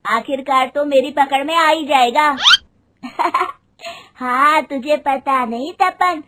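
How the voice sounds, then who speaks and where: A woman voicing a playful, boastful village boy in a cartoon, loudly taunting. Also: high voice, takes it slowly, very noisy. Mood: teasing, excited.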